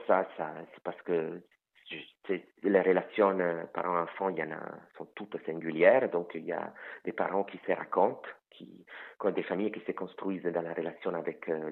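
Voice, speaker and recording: Halting man on a tinny line. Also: deep voice. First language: Italian. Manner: hesitant, frequent fillers and restarts. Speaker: author guest. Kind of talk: interview answers about his novel.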